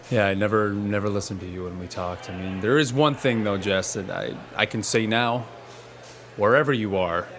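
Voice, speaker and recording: Rueful, halting man confiding. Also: lowish voice, a bit bright. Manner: halting, rambling, self-deprecating. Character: rueful, guilt-ridden man confiding in an audio log. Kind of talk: audio drama monologue, casual. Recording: faint background noise and ambience.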